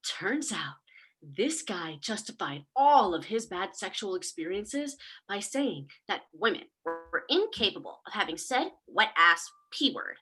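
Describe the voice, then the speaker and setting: Woman playing a bright, snarky, boastful demon. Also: mid-range voice, big pitch swings, measured pace, slightly rough, faint music behind, full character voice, poor audio. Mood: gleeful, scornful. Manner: sarcastic, conversational, casual swearing. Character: smug, snarky female demon boasting of corrupting mortals. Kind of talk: comic stage monologue.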